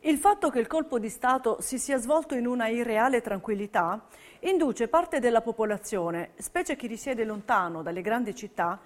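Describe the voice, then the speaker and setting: A woman narrating a documentary theatre piece in a scripted, measured and clearly enunciated delivery. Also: on the high side. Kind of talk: scripted dramatic narration, reading testimonies.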